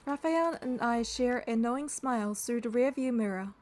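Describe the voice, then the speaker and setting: A woman's voice: a little high, normal speed, a little mellow, a little hiss or hum, close to an everyday voice. A woman voicing a young female hunter and bodyguard, narrating a romance game in an earnest, lightly teasing way. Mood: reflective. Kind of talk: scripted first-person game narration and dialogue.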